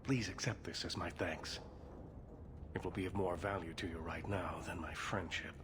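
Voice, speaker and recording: A cautious, weary conspirator in a fantasy game, acted by a man. His voice sits low and he chooses words formally and discreetly. Clear noise runs behind him. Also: steady tone, moderate pace, natural voice. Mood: cautious, hushed. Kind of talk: video game cutscene dialogue.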